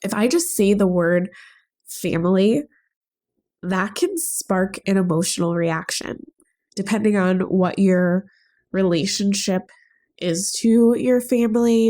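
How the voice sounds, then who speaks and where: Young woman, podcast host with a stuffed-up head cold, mellow voice, earnest and gentle. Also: medium pitch. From United States. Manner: earnest, gentle, thinking aloud. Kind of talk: reflective solo podcast talk.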